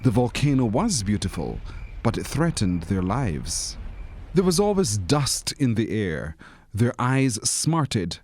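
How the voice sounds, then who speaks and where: A man's voice: fairly low pitch, sing-song, takes it slowly, darkish tone. A man reading a children's storybook expressively, as a narrator who acts out the characters. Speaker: story reader.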